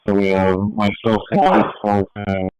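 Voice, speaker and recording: A man's voice: on the low side, level tone, slow, darkish tone, clean tone, dry recording, lo-fi sound, faint music behind. A man who is a police officer, speaking in brief exchanges over police radio.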